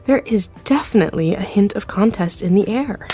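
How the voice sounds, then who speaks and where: An actress playing a friendly executive producer who is a guest on a talk show, chatting with a conspiratorial giggle. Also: female voice, mid-range voice, expressive, brisk, dark tone, close to an everyday voice.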